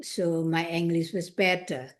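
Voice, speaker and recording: An older woman from Indonesia, whose first language is Indonesian, speaking haltingly and searching for words in an interview. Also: on the low side, little pitch movement. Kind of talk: conversational interview.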